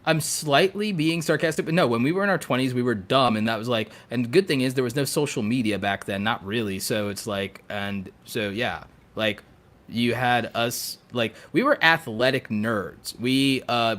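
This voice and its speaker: A man chatting casually as a podcast co-host, telling stories in an animated, geeky, emphatic and joking way. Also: mid-range voice, normal speed. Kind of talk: casual chat.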